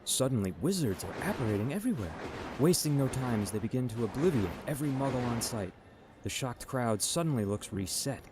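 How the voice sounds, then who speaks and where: A man's voice: medium pitch, animated, a little fast, background noise, lightly acted. A man playing the dramatic, playful narrator of a holiday adventure audio drama. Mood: storytelling.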